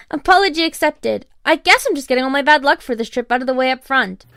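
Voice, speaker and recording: A woman's voice: sits high, animated, moderate pace, fairly bright tone, no echo. A woman playing a weary, dazed but good-natured female unicorn, wry and weak, in a fan audio drama. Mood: weakly forgiving.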